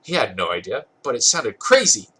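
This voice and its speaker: Congested, husky man reading a story aloud. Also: medium pitch, big pitch swings, normal speed, a bit bright. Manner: amused, stumbles over words and corrects himself. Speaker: podcast host reading fan fiction aloud. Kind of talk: dramatic reading of a comic story.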